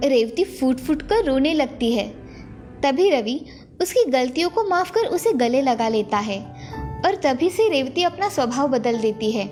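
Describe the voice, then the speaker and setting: A woman's voice: high-pitched, sing-song, a little slow, darkish tone, soft background music. A woman narrating a scripted children's moral story.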